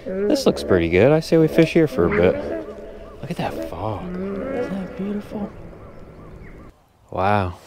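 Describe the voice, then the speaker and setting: A man filming himself fishing outdoors, chatting casually to the camera and muttering to himself with sudden excited bursts. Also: on the high side, a little slow, pauses often, a crowd in the background. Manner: relaxed, mutters to himself, excited bursts when a fish bites. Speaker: angler filming his fishing trip. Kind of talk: casual self-narrated fishing vlog. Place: outdoors by a brook from a small boat.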